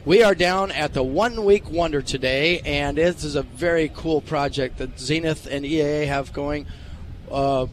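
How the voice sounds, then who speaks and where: A man hosting live radio on location, chatty and announcer-like. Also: on the high side. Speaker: radio host and interviewer. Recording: ambient event noise behind voice.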